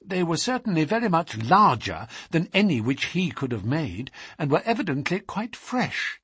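A man's voice: a little high, sing-song, moderate pace, a bit bright, slightly rough, no echo, studio quality, pitched above the performer's own voice, exaggerated voice. A man voicing an earnest, easily indignant doctor in an audiobook, speaking in a formal, precise, agitated way. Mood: astonished. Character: earnest, indignant male doctor recounting a puzzling case. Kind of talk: audiobook character voice.

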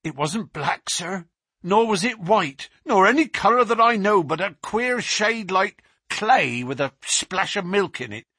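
Guy voicing a spooked constable, high strained voice, breathy, all over the place in pitch. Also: sing-song, a little slow, no room sound, studio quality, full character voice. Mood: frightened. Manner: breathless, frightened, rising high and loud. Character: terrified male police constable, shaken and nervous.

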